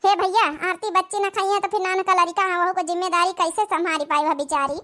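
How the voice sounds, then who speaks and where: A woman's voice: very high voice, fairly even intonation, fairly quick, sharp tone. A woman voicing a practical village sister-in-law in a cartoon, giving persuasive advice in an Awadhi accent. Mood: gently advising. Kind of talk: dramatic cartoon dialogue in dialect.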